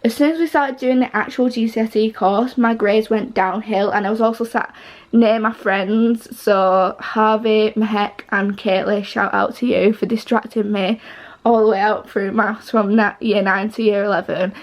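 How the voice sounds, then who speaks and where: A teenage girl chatting to camera, rambling with lots of fillers and restarts, her voice a little croaky. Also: mid-range voice, steady tone, moderate pace. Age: teens. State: slightly croaky voice. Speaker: vlogger. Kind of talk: casual chat to camera.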